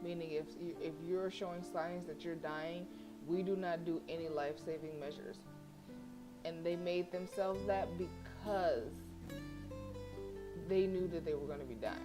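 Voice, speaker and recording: A woman's voice: lowish voice, a little slow. A sassy, outspoken woman chatting casually and slangily as she streams a game and reacts to it. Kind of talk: casual livestream commentary, reacting and reading a visual novel aloud. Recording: music behind the voice. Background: plucked guitar game music.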